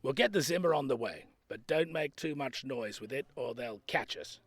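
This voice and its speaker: An actor playing a precise, conspiratorial old care-home resident in an audio drama. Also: male voice, medium pitch, lively intonation, normal speed. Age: older.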